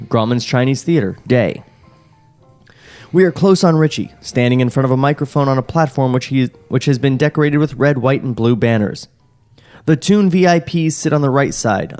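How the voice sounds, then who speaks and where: A man's voice: medium pitch, a little mellow. A man hosting a podcast, reading a screenplay's stage directions aloud in a comedic table read and cracking jokes between lines. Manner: reads directions steadily, breaks off into jokes and laughter. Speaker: podcast host reading a script.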